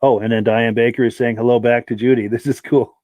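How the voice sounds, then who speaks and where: A man's voice: medium pitch, little pitch movement, a little fast, darkish tone, dry recording. A man hosting a live podcast, reading out viewer comments and thanking each viewer by name, with a dry throat and a voice that is giving out. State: dry throat, losing his voice. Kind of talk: live-stream chat.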